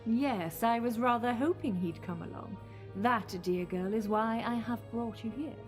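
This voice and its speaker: Woman as a high-voiced, imperious goddess. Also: faint music behind, close to an everyday voice. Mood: silky scheming. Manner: commanding, slow condescending endearments. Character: imperious, scheming false goddess, silky and condescending. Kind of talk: audio drama acting.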